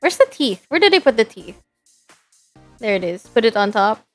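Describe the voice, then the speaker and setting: Young woman VTuber from the Philippines, Tagalog first language; casual, giggly stream chatter, slightly high voice. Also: big pitch swings, normal speed, soft background music. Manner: chatty, giggly, lots of fillers. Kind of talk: casual live-stream chat while working.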